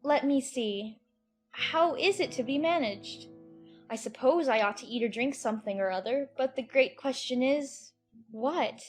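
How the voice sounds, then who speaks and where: A woman's voice: sits high, a little slow, faint music behind. A woman playing an earnest, puzzled little girl in a dramatized audiobook, with a polite, hesitant, musing manner. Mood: musing, anxious. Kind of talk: dramatized audiobook character acting.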